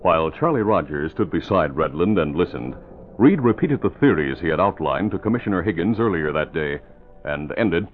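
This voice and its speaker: A man narrating a scripted 1940s radio serial in a dramatic, measured storytelling manner. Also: deep voice, lively intonation. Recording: muffled vintage radio, music behind.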